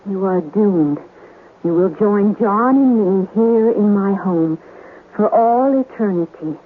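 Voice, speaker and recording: An actress playing an anguished young wife of a sea captain, in a melodramatic, pleading old-time radio drama style. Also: female voice, mid-range voice, animated, takes it slowly, narrow, tinny audio, a little hiss or hum. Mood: grave, foreboding. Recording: band-limited old radio recording, music behind.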